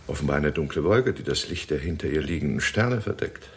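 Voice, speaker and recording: An actor playing a brisk, courteous leading astronomer in a 1960s German radio drama. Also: male voice, a bass voice, expressive. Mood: absorbed, puzzled. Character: Doctor Marlow, brisk leading astronomer at observatory. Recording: 1960s radio recording with background noise.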